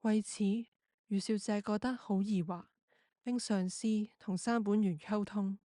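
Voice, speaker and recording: A woman's voice: mid-range voice, fairly even intonation, measured pace, warm tone, no room sound, clean studio sound. A woman narrating a scripted radio drama.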